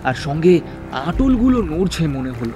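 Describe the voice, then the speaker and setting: Male storyteller for village horror cartoon: high-set voice, dramatic narration over clear music. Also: very animated, moderate pace.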